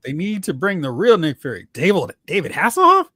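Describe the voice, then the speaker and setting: A man's voice: high-pitched, huge pitch swings, fairly quick, sharp tone, dry recording, clean studio sound. A man hosting a live talk stream, chatting casually and giving fan commentary. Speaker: host of a live talk stream on movies and comics.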